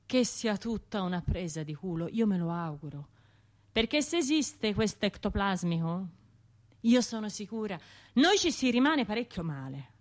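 A woman's voice: mid-range voice, sing-song, moderate pace, close to an everyday voice. Radio drama monologue: actress as sardonic, wounded Tuscan woman, middle-aged, colloquial delivery, mid-range voice. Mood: bitter, sardonic. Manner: colloquial, sardonic, acting out other people's remarks. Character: bitter, sardonic Florentine woman, grieving survivor of a bombing. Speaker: stage and radio actor.

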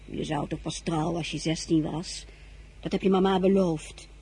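A woman's voice: low voice, fairly even intonation, normal speed, mellow, slight background noise, rough recording. A woman playing a loyal, practical older maid and former nurse in a radio drama. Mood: practical concern.

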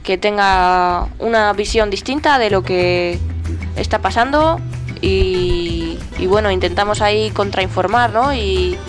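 A woman's voice: medium pitch, slow pace, over music. A woman with an accent from Spain, co-hosting a radio programme in a casual, conversational style. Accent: Spanish from Spain. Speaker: radio co-host. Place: radio programme with music behind.

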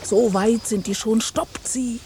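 An actress playing a challenging, teasing biologist in a German radio play, with pointed and deliberate phrasing. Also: female voice, fairly high pitch, fairly even intonation, a little mellow, slight background noise. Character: teasing, challenging female biologist, old friend of journalist.

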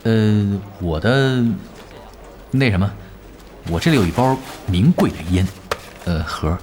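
A man's voice: on the low side, lively intonation, a little slow, darkish tone, a little hiss or hum. An actor playing a laid-back, cheeky young male gamer who is offhand and blunt, in an audio drama. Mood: sheepish, offhand. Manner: offhand, hesitant mumbles turning blunt.

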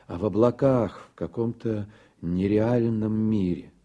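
A man reading scripted radio drama narration in a measured, literary storytelling manner. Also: lowish voice, warm tone.

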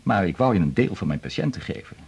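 A gravelly, chatty family doctor. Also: male voice, deep voice, sing-song, fast talker, full character voice. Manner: chatty, explains at length. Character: established male family doctor, talkative. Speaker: general practitioner. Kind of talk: 1980s Dutch radio drama acting.

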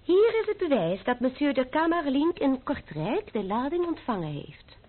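A woman's voice: high-pitched, big pitch swings, normal speed. An actress playing a spirited, self-assured young French noblewoman who teases and mocks in an old-fashioned Dutch radio drama. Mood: playful, triumphant. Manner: arch, mocking, confident. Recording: muffled old radio play recording.

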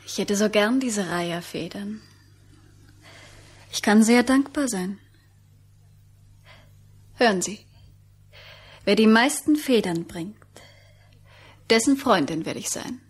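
An actress playing a flirtatious, scheming seductress in a German radio play, coquettish and teasing. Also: female voice, medium pitch, expressive, slow pace, long pauses, husky. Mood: seductive.

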